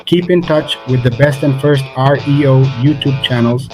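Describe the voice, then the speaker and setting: A man's voice: medium pitch, normal speed, darkish tone, low-quality recording. A man from Venezuela giving an effusive, grateful, scripted channel intro. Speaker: channel host. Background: guitar music.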